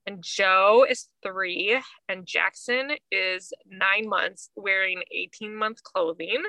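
A woman's voice: mid-range voice, creaky, pushed and bright, no room sound, rough recording. A woman in her thirties chatting casually as a podcast co-host, informal and a little self-deprecating. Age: 30s. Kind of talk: casual chat.

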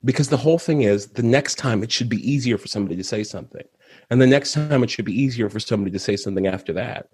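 A man in his thirties speaks with a husky, slightly breathy voice at an ordinary pitch. He talks earnestly in casual conversation and laughs easily. The sound is dry and close. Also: rapid-fire, darkish tone, no echo. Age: 30s. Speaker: guest.

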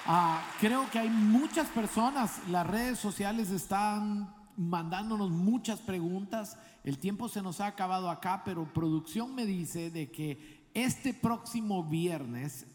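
A man's voice: sits high, in a large hall. A man hosting a live church program, announcing with energy. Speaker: host.

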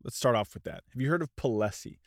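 A man's voice: mid-range voice, lively intonation, fast, dry recording, studio quality. A man in his thirties hosting his own podcast, talking loosely in one take, a little congested and nasal. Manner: loose, self-deprecating, unscripted one-take delivery. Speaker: podcast host. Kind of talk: casual solo podcast talk.

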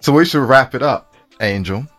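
A man's voice: medium pitch, animated, normal speed, fairly bright tone, dry recording, faint music behind. A man co-hosting a podcast, chatting casually in an easygoing, bantering way. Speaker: podcast co-host.

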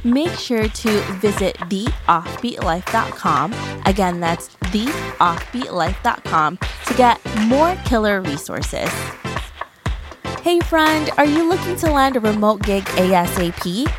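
A woman hosting a podcast, cheerful and bubbly, her pitch sitting in a middle range. Her greetings and questions pour out with enthusiasm while music plays clearly behind her. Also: expressive, measured pace. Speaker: podcast host. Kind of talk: upbeat podcast hosting and ad reads.

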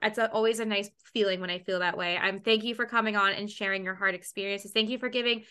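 Bright-voiced chatty woman podcast host. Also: medium pitch, fast, clear, resonant tone. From Canada. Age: 20s. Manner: swears freely, animated and chatty. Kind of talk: casual interview chat.

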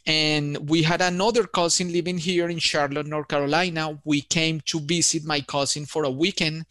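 A man's voice: a little high, fairly bright tone, dry recording. A man in his forties from Colombia with a Colombian Spanish accent, telling his story as an architect in an interview in an enthusiastic, friendly way. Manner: friendly, enthusiastic, says man and you know often. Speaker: architect and podcast guest.

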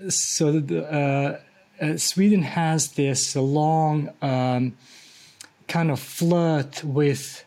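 A man from Bosnia hosts a conversational podcast interview, searching for words with frequent pauses. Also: on the high side, fairly even intonation, a little mellow. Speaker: podcast host.

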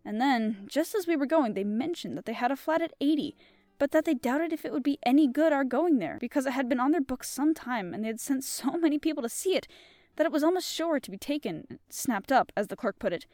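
A woman's voice: high voice, expressive, brisk, mellow, no room sound, clean studio sound, pitched above the performer's own voice. A woman reading aloud and voicing a chatty, breathless middle-aged housewife who gushes and rambles. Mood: gushing. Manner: gushing and rambling.

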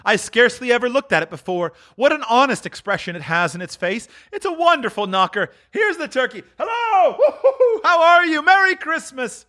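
A man's voice: high voice, very animated, a little fast, few pauses, pushed and bright, pitched above the performer's own voice, heavily acted. A man voicing a joyful, hearty old reformed miser in a storybook reading, exclaiming eagerly and effusively. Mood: giddy, delighted. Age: older.

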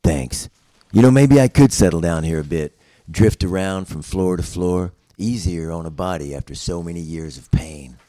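Man playing a deep-voiced, brooding noir drifter. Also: normal speed, no echo, close to an everyday voice. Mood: deadpan. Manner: deadpan, brooding storyteller. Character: mysterious world-weary male noir drifter, earnest, troubled past. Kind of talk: noir web series table read.

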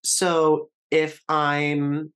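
A woman speaking as a guest in a casual conversation, thoughtful and measured. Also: deep voice, monotone. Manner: thoughtful, measured, open about voice.

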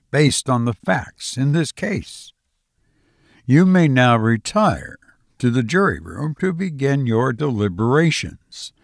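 Man reading as a formal, gravelly courtroom judge. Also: medium pitch, no echo, clean studio sound. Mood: firm instruction. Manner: formal, procedural, authoritative. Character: formal, impartial judge instructing a jury.

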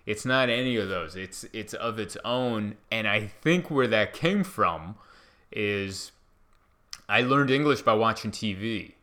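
Bright-voiced man with a slight slur. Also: on the low side, a little slow. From Hungary. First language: Hungarian. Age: 30s. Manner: slightly slurred, rambling diction. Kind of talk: casual chat.

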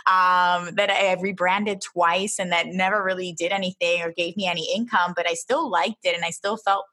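A woman's voice: fairly low pitch, fairly even intonation, normal speed, sharp tone. A woman in her thirties who grew up in Philadelphia, a travel blogger chatting as a podcast guest and thinking aloud with fillers. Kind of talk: casual interview.

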